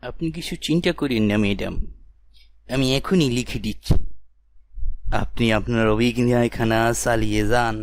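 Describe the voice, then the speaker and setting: A man's voice: medium pitch, moderate pace, pauses often, no room sound, natural voice. A man voicing a sly, fawning assistant to a lady boss in a satirical cartoon, with an obsequious manner. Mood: flattering.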